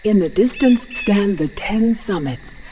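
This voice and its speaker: Woman acting a mellow, solemn fantasy game narrator. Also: mid-range voice, expressive, slight background noise, natural voice. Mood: reverent, wistful. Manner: grand, measured storyteller delivery. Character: solemn, mystical female narrator of a fantasy realm. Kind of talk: dramatic scripted fantasy narration.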